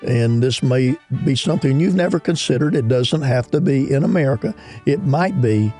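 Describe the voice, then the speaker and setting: A man hosting a short religious radio program, reading a missionary's story aloud in a steady, measured way. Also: medium pitch, soft background music. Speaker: radio ministry host.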